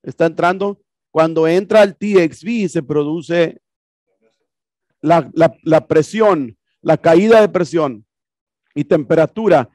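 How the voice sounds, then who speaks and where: A man's voice: a little high, slow, darkish tone, dry recording. Man teaching HVAC, chatty. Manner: casual, repetitive explaining with rhetorical questions. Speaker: HVAC instructor. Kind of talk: classroom lecture explaining a projected video. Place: classroom with projector screen.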